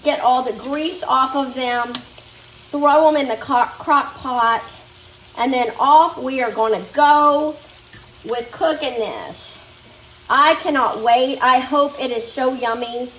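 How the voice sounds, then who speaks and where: High-voiced chatty woman at the stove. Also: takes it slowly, a bit bright, slight background noise. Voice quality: slightly nasal. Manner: chatty, talks to viewers as "guys" while working at the stove. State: recovering from a fever. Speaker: cooking vlogger. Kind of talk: casual chat while cooking. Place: home kitchen. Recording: echoey kitchen, mic a bit far off. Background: sizzling meat in a skillet.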